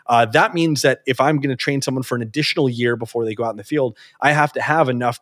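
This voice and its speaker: A man explaining his view at a rapid clip, mid-pitched and earnest. He often restarts his sentences while thinking aloud. The sound is close and dry, with no room echo. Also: a bit bright. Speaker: interview guest. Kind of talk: conversational interview answer.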